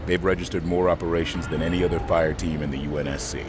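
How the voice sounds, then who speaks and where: An actor as a low-voiced, commanding squad leader. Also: male voice, steady tone, natural voice. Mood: firm, resolved. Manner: brisk, authoritative. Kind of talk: video game cutscene acting. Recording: loud music behind.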